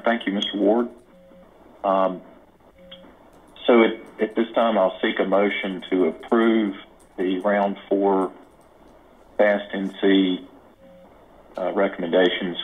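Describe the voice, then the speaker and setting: Meeting chair: American man, very low flat voice, procedural delivery, faintly noisy line. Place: conference call. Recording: noisy, narrow telephone line.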